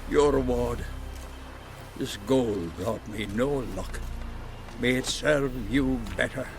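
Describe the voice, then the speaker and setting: An actor playing a plain-spoken, middle-aged village father in a video game, folksy and emphatic, with a creaky voice. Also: mid-range voice, slow. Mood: grieving. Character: plain-spoken village father, fretful and fond of his son. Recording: music behind the voice. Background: game music.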